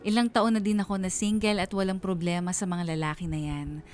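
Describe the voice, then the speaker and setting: A woman performs a middle-aged Filipina single mother telling her story in a radio drama. The voice is medium in pitch, earnest, weary and reflective. Soft music plays underneath. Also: natural voice. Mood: reflective, grateful. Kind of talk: confessional radio drama narration.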